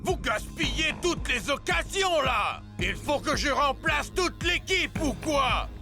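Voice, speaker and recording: A man's voice: extremely high pitch, very animated, slow pace, vocal fry, over music, heavily acted. A man voicing an exasperated, demanding sports team boss in a French cartoon, scolding as he talks. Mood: exasperated, angry.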